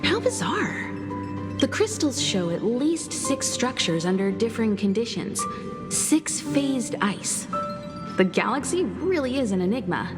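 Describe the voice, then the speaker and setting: Game role: analytical woman scholar, fairly high, lively pitch, reverberant with music behind. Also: a little slow. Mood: fascinated. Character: intrigued, caring, analytical woman scientist. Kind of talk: video game voice acting.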